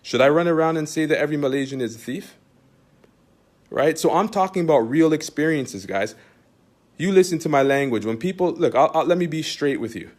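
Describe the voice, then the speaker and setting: Canadian man, teacher type, emphatic monologue, keeps asking "you understand?", voice sits a bit high. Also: expressive, a little fast. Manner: emphatic, repetitive, rhetorical questions. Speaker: Islamic teacher. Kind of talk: direct-address monologue to followers.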